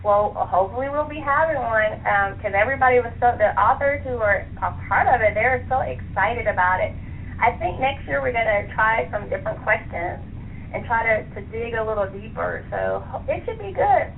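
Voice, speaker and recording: A woman's voice: fairly high pitch, animated, a little fast. A middle-aged American woman, a guest author chatting casually and laughing often in an interview over a phone line. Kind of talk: casual radio interview chat. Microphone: telephone. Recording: tinny phone line with faint noise.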